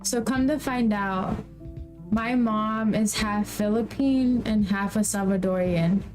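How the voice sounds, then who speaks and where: A woman's voice: medium pitch, unhurried, a little mellow, soft background music, poor audio. A young woman hosting a casual chat, teasing her guest, laughing often and repeating herself. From New York. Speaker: podcast host.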